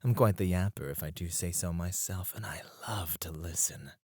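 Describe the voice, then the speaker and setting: A man playing a suave, flirtatious club escort in an intimate ASMR roleplay, teasing and breathy, close to the mic. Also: deep voice, no room sound. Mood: flirty, teasing. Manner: slow, teasing, seductive, often dropping to a breathy murmur. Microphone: close studio microphone, dry.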